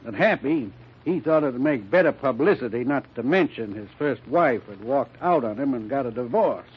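A man's voice: medium pitch, lively intonation, moderate pace, breathy edge, muffled recording. An American actor playing a plain-spoken, reproachful middle-aged man in an old-time radio mystery. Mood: frank.

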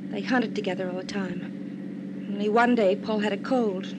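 A young woman with an American accent acting an anxious, bitter young wife in an old-time radio drama, with emotional, halting delivery. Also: fairly even intonation, close to an everyday voice. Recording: noisy old radio recording, vehicle rumble.

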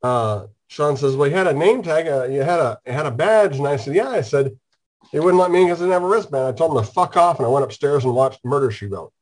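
A man's voice: fairly high pitch, animated, fast, low-quality recording. A man hosting a casual online talk show while stuffed up with a cold, joking and rambling through his stories. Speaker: host.

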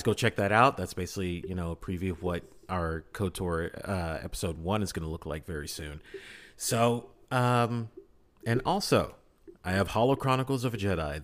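A man chatting casually as a podcast guest, with an emphatic, repetitive way of phrasing things. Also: low-pitched. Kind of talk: casual chat.

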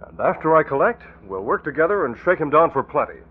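An actor playing a cunning, commanding masked vigilante hero who also passes as a genial gentleman, in 1940s radio drama style. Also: a little high, sing-song, fast talker, breathy edge. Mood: grimly confident. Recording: tinny, noisy old radio broadcast.

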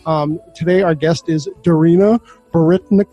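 A man's voice: a little high, steady tone, moderate pace, mellow, muffled recording, soft background music. A man co-hosting a podcast, laid-back and joking, with lots of fillers. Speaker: podcast co-host. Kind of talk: casual podcast chat.

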